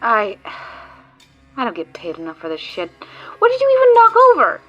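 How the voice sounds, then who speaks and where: A woman's voice: fairly high pitch, huge pitch swings, moderate pace, sharp tone, highs cut off, faint music behind, exaggerated voice. A woman voicing a sarcastic, exasperated female guide who swears often, in a fan audio drama.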